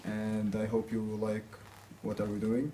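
A man's voice: lowish voice, monotone, a little slow. A young man from Egypt introducing himself hesitantly to a camera in a small office. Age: young adult. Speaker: student volunteer. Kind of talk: casual self-introduction. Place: small office with green walls. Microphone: far camera. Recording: clear background hiss.

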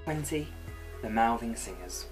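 Young man, medium-pitched, video host, comedic list to camera, music behind. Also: expressive, unhurried, low-quality recording. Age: young adult. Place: small bedroom.

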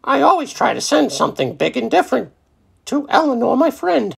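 A man voicing an excitable, fussy lady goose from a storybook in falsetto. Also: brisk, gravelly, slightly rough, full character voice. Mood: gushing, eager. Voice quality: high falsetto.

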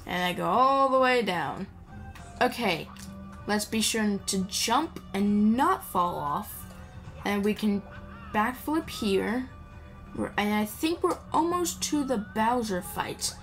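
Game music plays behind a woman with a mid-pitched voice. She gives casual, off-the-cuff gameplay commentary. Her manner is rambling and playful, as if chatting with both viewers and the characters. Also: expressive, slow, a bit bright. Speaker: gaming video creator. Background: video game music.

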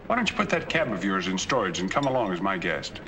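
A gentle, wealthy older gentleman. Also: low-pitched, sing-song, quick pace. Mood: earnest. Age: middle-aged. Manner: polite, gentle, well-spoken. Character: wealthy, lonely middle-aged man. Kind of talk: 1940s film acting. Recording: hissy 1940s film soundtrack.

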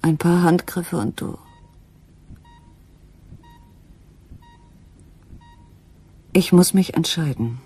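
An actress playing a distraught, quietly accusing wife in a German radio crime drama, halting and intense, often dropping toward a whisper. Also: female voice, fairly low pitch, breathy edge. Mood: grieving, torn.

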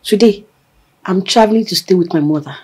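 A woman playing a weary, husky young woman. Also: mid-range voice, brisk, darkish tone, lightly acted. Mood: pleading. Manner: pleading, defiant, repetitive. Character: weary young woman fleeing an insulting friend.

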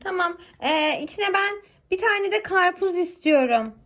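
A woman's voice: very high voice, lively intonation, measured pace, fairly bright tone. A woman chatting casually and playfully with her small son as his mother, coaxing and encouraging him with simple questions. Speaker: mother playing pretend with her young son.

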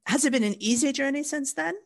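A woman's voice: sits high, fast, creaky. A woman with a Canadian accent co-hosting a podcast, talking in long, thoughtful explanations with some ums. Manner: thoughtful, talks in long explanatory sentences with fillers. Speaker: podcast co-host. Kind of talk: casual podcast chat.

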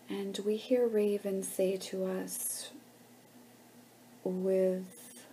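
A woman leading a guided meditation in a gentle, hushed, soothing manner with pauses between phrases. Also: medium pitch, a little hiss or hum, low-quality recording.

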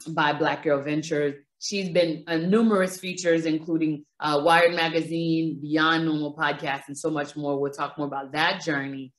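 Caribbean woman hosting a podcast over video call. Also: low voice. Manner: halting, many fillers and restarts. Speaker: podcast host. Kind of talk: podcast introduction and interview. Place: small room indoors. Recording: roomy echo.

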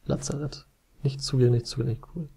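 Casual gameplay commentator, man, gravelly low rasp, jokey, soft game music underneath. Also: steady tone, fast talker. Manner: joking, laughing, reads game text in playful voices. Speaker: let's play player. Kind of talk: casual gameplay chat, reading dialogue aloud.

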